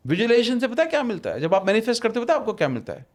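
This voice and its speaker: A man with a fairly high voice talks as a podcast guest, his pitch swinging widely as he explains. He drives his points home with rhetorical questions and slips English phrases into his sentences. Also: huge pitch swings, very fast. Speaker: podcast guest, manifestation author. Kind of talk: explanatory conversational talk.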